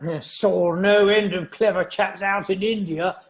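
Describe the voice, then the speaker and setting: A man acting a blustering, lecherous old colonial army major in an amateur radio play, exclamatory and halting. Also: high voice, fairly even intonation. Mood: blustering, nostalgic.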